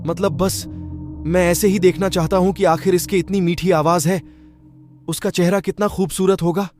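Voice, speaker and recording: Young villager, male actor, curious and smitten, very high male voice, cartoon dialogue. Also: fairly even intonation, normal speed, a little mellow, natural voice. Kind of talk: Hindi cartoon story acting. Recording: faint music behind.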